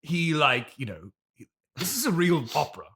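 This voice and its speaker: Guy doing a snobby theatre actor, kinda high forward voice, touchy and earnest, improv comedy interview. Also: huge pitch swings, normal speed, bright, forceful tone, slightly rough, exaggerated voice. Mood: earnest, defensive. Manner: earnest, snobbish, hesitant and defensive. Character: pompous, touchy, classically trained male stage actor.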